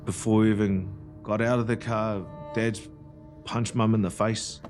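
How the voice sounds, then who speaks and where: Low-pitched New man, candid documentary recollection, music clearly underneath. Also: little pitch movement, a little slow, darkish tone. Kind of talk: personal documentary interview. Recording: music playing clearly behind the voice.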